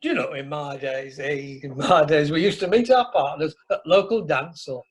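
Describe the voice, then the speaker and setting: A man's voice: on the high side, moderate pace, breathy edge. A man playing a jovial, bluff older husband with a Northern English accent in an amateur radio comedy. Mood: blustering, nostalgic. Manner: chuckling, blustering, colloquial. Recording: online meeting recording.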